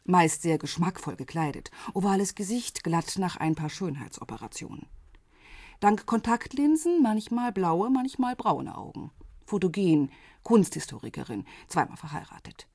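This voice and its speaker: A woman's voice: fairly low pitch, lively intonation, normal speed, darkish tone, husky, no echo. An actress playing a self-assured police inspector in her forties in a radio crime drama, speaking in a businesslike, matter-of-fact way. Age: 40s.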